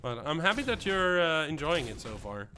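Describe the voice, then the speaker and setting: A man's voice: on the high side, sing-song, normal speed, bright, forceful tone, slight background noise. A young man with a Danish accent, whose first language is Danish, streaming a game and chatting with viewers in a rambling way with many fillers and restarts. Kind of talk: live gameplay commentary, chatting with viewers.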